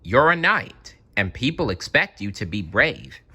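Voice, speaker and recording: A man voicing a gentle, emotional male dragon from a storybook, tearful and longing for love. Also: medium pitch, sing-song, measured pace, slightly rough, full character voice. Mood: anxious. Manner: sobbing, emotional, exclamatory. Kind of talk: storybook character voice.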